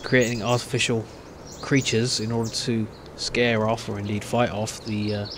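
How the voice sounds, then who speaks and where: A man's voice: fairly low pitch, little pitch movement, normal speed, background noise. A man giving casual, hesitant let's play commentary, thinking aloud as he plays a game. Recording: game music behind the voice. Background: video game music.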